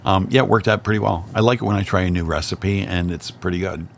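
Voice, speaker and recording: A man's voice: low-pitched, quick pace, gravelly, a little hiss or hum. A man chatting as a podcast guest, wry and easygoing. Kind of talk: casual chat.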